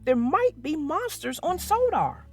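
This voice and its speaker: A small, jumpy little engine, as a woman voices him, breathy and wheezy, his pitch climbing high when he is scared. He sounds young and timid, with a lively, bouncing voice. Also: measured pace, soft background music, heavily acted. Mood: earnest.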